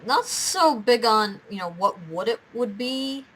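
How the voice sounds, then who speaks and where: A woman's voice: on the high side, lively intonation, a little slow, fairly bright tone, poor audio. A young woman hosting a casual chat, talking in a giggly, rambling way. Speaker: host of a fan gaming and quiz show.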